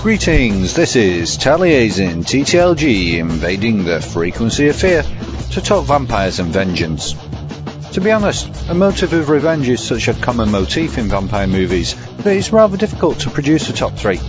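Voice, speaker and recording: A man's voice: medium pitch, sing-song, normal speed, highs cut off. A man hosting a podcast segment with scripted film commentary. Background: music.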